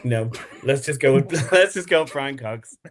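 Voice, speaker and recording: A man's voice: mid-range voice, lively intonation, normal speed. A man speaking as a podcast guest, in casual, conversational talk. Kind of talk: casual chat, telling an anecdote.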